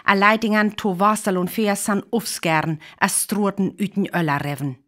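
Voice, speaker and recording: Actress as a sober radio news announcer. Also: female voice, medium pitch, steady tone, normal speed, a bit bright, natural voice. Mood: grave, urgent. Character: woman news announcer soberly reporting a catastrophic invasion. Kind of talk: radio drama news bulletin.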